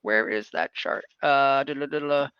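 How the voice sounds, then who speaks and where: A man's voice: mid-range voice, moderate pace, bright, forceful tone, clean tone, no echo, muffled recording. A man acting as game master, improvising narration and rulings casually while thinking aloud over an online call. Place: close, dry mic on an online game call.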